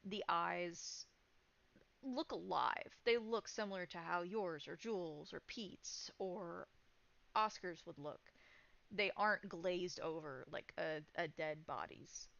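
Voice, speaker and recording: A woman running a horror tabletop game as game master, narrating in halting, descriptive phrases. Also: fairly low pitch, vocal fry, fairly bright tone. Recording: dull, lo-fi sound.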